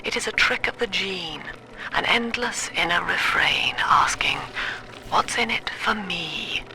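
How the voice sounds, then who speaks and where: An actress playing a cold, calculating cult leader who lectures in a measured, clinical way in a video game. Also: female voice, lowish voice, lively intonation, pushed and bright, a little hiss or hum, full character voice, rough recording. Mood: hypnotic, instructing. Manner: measured, clinical, precise diction. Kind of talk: scripted video game monologue.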